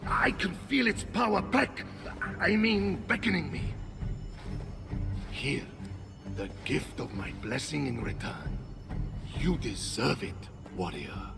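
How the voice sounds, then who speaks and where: An actor playing a blustering, theatrical war god trapped in a chicken's body, in an animated video game. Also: male voice, on the high side, slow pace, creaky, pauses often, over music, full character voice. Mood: bombastic. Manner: theatrical, pompous, self-dramatizing. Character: war god stuck as a chicken, blustering, dramatic, easily flustered.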